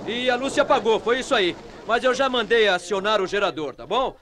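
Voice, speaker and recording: A man playing an agitated hospital staffer calming a frightened crowd in a radio drama, insistent and repetitive. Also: high voice, brisk. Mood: agitated, urgent. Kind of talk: radio mystery drama acting. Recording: noisy AM radio drama recording.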